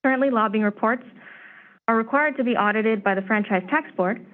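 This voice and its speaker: A woman staff presenter giving a formal, measured briefing on proposed legislation, her voice slightly hoarse. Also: fairly high pitch. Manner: steady, measured, reads prepared remarks. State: slightly hoarse, clearing throat. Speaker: staff presenter at a commission meeting. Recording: tinny phone-line audio.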